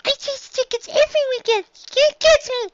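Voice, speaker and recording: A woman voicing an earnest, defiant little girl pony in a storybook reading, with a squeaky childlike voice and a pleading, stammering manner. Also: moderate pace, no room sound, lo-fi sound. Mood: taunting.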